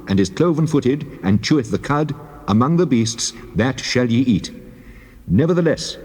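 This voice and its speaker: A man playing a solemn divine lawgiver, measured and authoritative, in a dramatized scripture reading. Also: medium pitch, a little mellow, breathy edge. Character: the Lord God, solemn commanding divine lawgiver. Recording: long cavernous reverb, blurred voice.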